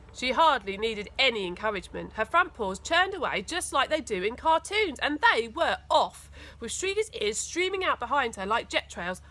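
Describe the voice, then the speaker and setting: A woman reads a children's story with dramatic emphasis. Her voice is bright and fairly high, swinging widely in pitch, with faint noise in the background. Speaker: school teaching assistant reading aloud. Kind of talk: animated children's story reading.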